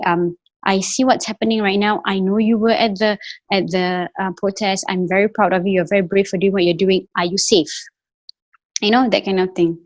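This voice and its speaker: A woman's voice: medium pitch, no echo. A woman from Singapore with a Singaporean English accent that slips into Malay words, speaking earnestly as an interview guest and often restarting her sentences. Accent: Singaporean English with Malay code-switching. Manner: earnest, with frequent restarts and fillers. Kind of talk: casual conversation.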